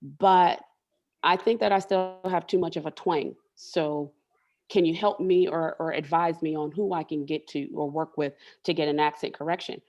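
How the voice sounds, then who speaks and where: Low-voiced woman explaining earnestly. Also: fairly even intonation, moderate pace, a little mellow, muffled recording. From Southern United States. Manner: earnest, explanatory, repeats words while thinking. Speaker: interview guest, tech leader and coach. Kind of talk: candid interview conversation.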